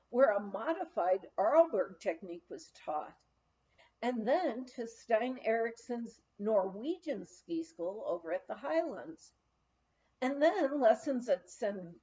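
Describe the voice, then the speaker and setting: A woman reading a memoir aloud in a halting manner, sometimes stumbling over words and going back over them. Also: on the high side, dark tone, muffled recording. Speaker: volunteer audiobook reader.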